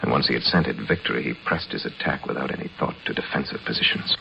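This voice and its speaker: A vengeful, determined chess master in a muffled vintage radio drama. His voice is deep and gravelly, with earnest, clipped and intense dramatic delivery. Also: fast, heavily acted. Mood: grave, narrating. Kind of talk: old-time radio drama acting and first-person narration. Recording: muffled old radio recording.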